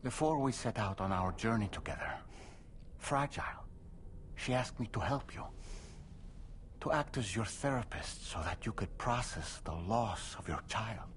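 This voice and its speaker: An actor playing a composed middle-aged therapist who breaks hard news in a calm, measured way, in a video game cutscene. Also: male voice, fairly low pitch, a little hiss or hum.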